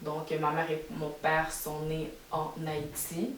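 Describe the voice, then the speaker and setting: A woman's voice: low voice, completely flat delivery, a little slow, a little hiss or hum. A young woman from Montreal with a Québécois accent, chatting reflectively on a podcast with plenty of fillers and restarts. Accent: Québécois French. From Montreal, Quebec, Canada. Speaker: podcast co-host. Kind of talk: casual podcast chat. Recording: echoey room, mic at a distance.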